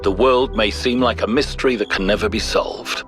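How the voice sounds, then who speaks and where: An actor playing a thoughtful, principled ancient Greek philosopher in a video game, giving a grave, measured, rhetorical monologue. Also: male voice, lowish voice, fairly even intonation, a bit bright, close to an everyday voice. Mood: contemplative. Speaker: narrator of philosophical audio logs. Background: music.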